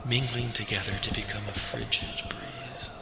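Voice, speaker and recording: A man playing the cold as a lonely, coaxing male spirit in a horror audio drama, measured and insinuating, in a noisy, echoing recording. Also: lowish voice, steady tone, vocal fry, whispered.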